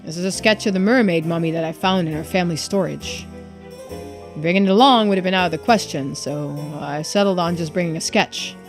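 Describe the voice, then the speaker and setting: A woman's voice: on the low side, fairly quick, faint music behind, lightly acted. A woman reading aloud as a cheerful, free-spirited young male traveler in a video game, theatrical and wordy. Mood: musing.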